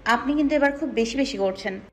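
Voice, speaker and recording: A woman's voice: on the high side, fast, close to an everyday voice. A woman playing a sulky, curt young female student in an audio story. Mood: annoyed. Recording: music under the voice.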